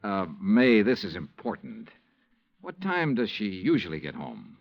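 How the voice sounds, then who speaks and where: An American actor playing a brisk, wry hardboiled insurance investigator in a 1950s radio detective drama. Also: male voice, lowish voice, huge pitch swings, creaky, no room sound, exaggerated voice. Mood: insistent. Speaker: lead actor and narrator. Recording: muffled vintage radio drama recording.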